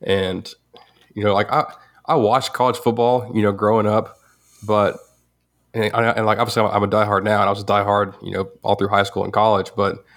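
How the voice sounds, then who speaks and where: Low-voiced man in his twenties. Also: flat delivery, fairly quick, a bit bright. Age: 20s. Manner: rambling, many restarts. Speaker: podcast co-host. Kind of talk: casual sports chat.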